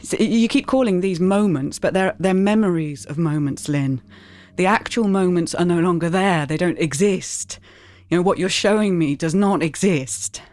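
An actress playing a wistful, anguished mother in an audio drama, speaking in a halting, emotional way. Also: lowish voice, darkish tone, no echo, natural voice. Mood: protesting, upset. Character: wistful, anguished mother reviewing her memories. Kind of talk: naturalistic audio drama acting. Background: music.

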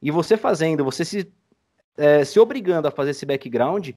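A man's voice: fairly high pitch, animated, moderate pace, darkish tone. A Brazilian man chatting casually as a guest on a podcast about his creative work. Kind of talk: casual interview chat.

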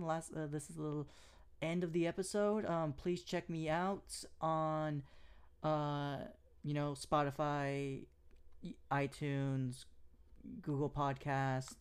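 Clear-voiced man hosting a solo podcast. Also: a little high, a little mellow. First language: English. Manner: casual, many fillers and restarts. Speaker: podcast host. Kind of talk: solo podcast recap and analysis.

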